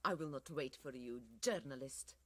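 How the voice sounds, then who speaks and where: A woman's voice: a bass voice, normal speed. An actress voicing a fierce young woman resistance fighter who speaks curtly and with threat. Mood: hard, demanding. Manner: curt and threatening.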